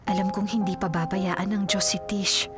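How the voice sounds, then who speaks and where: A woman's voice: mid-range voice, fairly even intonation, moderate pace, music in the background, natural voice. An actress with a Filipino accent playing a devoted, self-sacrificing young woman in love in a radio drama. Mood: gentle, reassuring.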